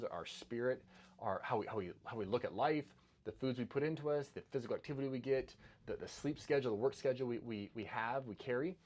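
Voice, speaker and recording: A man's voice: medium pitch, darkish tone. Male doctor, middle-aged, earnest and repetitive, casual health talk to camera. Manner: earnest, repeats words and restarts often. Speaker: doctor giving health advice.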